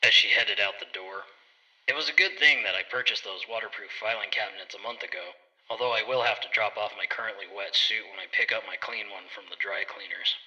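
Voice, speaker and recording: Man playing a forceful deadpan bear detective, low-voiced. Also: brisk, recorded from a distance, low-quality recording. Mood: deadpan, earnest. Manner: deadpan, theatrical hard-boiled storytelling. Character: earnest bumbling bear private detective, old noir style. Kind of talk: scripted first-person noir detective narration.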